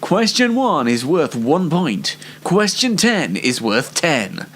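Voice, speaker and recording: A man presents a variety show over music, with a chatty and mock-dramatic delivery. His pitch swings widely, and he takes his time, drawing things out for effect. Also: big pitch swings, slow. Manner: playfully theatrical, mock-dramatic. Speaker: host of an online variety show. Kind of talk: chatty scripted-style presenting with ad-libs.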